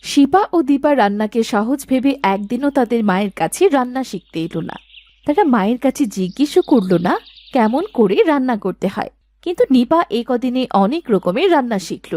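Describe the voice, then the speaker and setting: A woman's voice: on the high side, animated, fairly quick, darkish tone, no echo. A woman reading scripted fairy-tale narration as the narrator.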